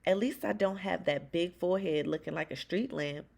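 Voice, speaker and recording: A woman plays a sassy young girl from a storybook, tall and quick with a comeback. The voice sits on the low side and has plenty of creak in it. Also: darkish tone. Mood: teasing. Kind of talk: storybook read-aloud.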